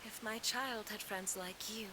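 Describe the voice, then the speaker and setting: A woman playing a gentle, motherly lady employer in an anime game, speaking in a genteel, kindly, measured manner. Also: mid-range voice, steady tone, close to an everyday voice. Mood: kindly, wistful. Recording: loud ambient noise behind the voice.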